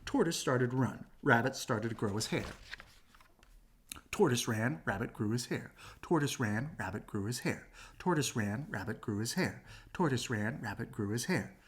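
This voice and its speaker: Female storybook reader, low-pitched and a bit rough-edged, with lively swings of intonation for young listeners. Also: moderate pace. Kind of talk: animated read-aloud narration for children.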